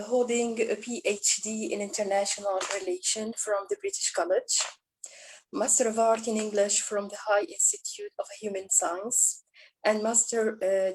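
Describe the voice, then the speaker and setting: A middle-aged woman, an Arabic speaker, presenting her book over a video call in a halting, lecturing way. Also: medium pitch, a little mellow, a little hiss or hum, rough recording. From Tunisia. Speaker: author and teacher. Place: indoor room.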